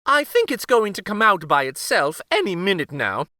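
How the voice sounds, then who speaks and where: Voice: medium pitch, sing-song, moderate pace, sharp tone, dry recording, clean studio sound, pitched above the performer's own voice. A man voicing a fussy, reluctant storybook king with whiny, exclamatory protests. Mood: nervous. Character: fussy, reluctant king afraid of splinter removal.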